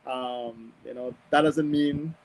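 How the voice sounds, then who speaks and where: A man giving conversational sports analysis as a guest, hesitant and measured as he thinks aloud. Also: medium pitch, fairly even intonation, rough recording. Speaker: football pundit guest.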